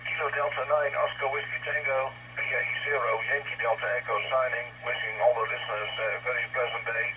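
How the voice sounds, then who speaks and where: A man in his sixties with a Dutch accent, chatting haltingly and cordially over a ham radio link. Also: mid-range voice, little pitch movement. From Netherlands. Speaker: amateur radio operator. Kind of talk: casual ham radio conversation. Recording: noisy, muffled internet radio link, distant.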